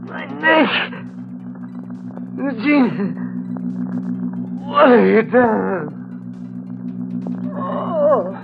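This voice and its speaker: An actor playing a betrayed, wounded husband in old-time radio horror, gasping in pain, desperate yet defiant. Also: male voice, extremely high pitch, very animated, extremely slow, creaky, long pauses, full character voice. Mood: anguished. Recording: tinny band-limited radio, music behind.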